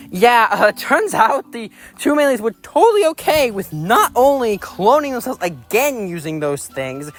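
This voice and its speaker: Higher-pitched man, casual rambling opinion commentary. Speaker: video reviewer. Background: background music.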